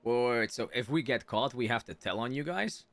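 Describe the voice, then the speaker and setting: A man's voice: mid-range voice, animated, brisk, a bit bright. A man giving casual live game commentary, musing aloud and joking in a chatty way. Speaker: game streamer. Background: game music.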